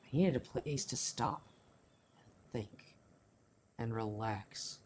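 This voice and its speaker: A man's voice: mid-range voice, takes it slowly, a little mellow, slight background noise, close to an everyday voice. A man playing a hot-headed, bitter teenage boy in an amateur radio play, with an informal, grumbling manner. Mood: rueful, bitter. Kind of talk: amateur radio play acting, first-person narration.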